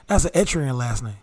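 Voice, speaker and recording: A man's voice: mid-range voice, darkish tone. A man co-hosting a podcast, talking in casual, laid-back banter and laughing often. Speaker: podcast co-host.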